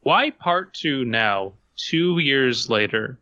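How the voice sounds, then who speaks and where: A man with a bright, forceful voice gives casual critique as a podcast co-host. The sound is close and dry, a little dull, and he slips into mock quotes to make a point. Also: medium pitch, lively intonation, takes it slowly, no room sound.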